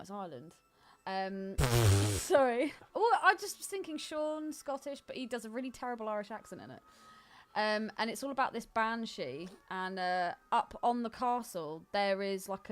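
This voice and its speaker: Female podcast co-host, animated pitch movement, informal film chat. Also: moderate pace.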